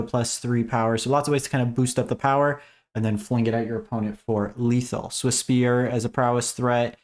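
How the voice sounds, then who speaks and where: A man hosting a casual game strategy breakdown, his voice raspy as he is losing it, talking in a conversational way with many fillers. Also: medium pitch. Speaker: host. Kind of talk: casual gaming commentary.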